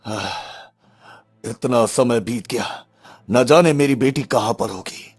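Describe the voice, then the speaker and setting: A man's voice: medium pitch, slow pace, husky, faint music behind. A man voicing an anxious middle-aged king and father in a cartoon story, speaking in a solemn, troubled way. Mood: worried. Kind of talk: Hindi cartoon story voice acting.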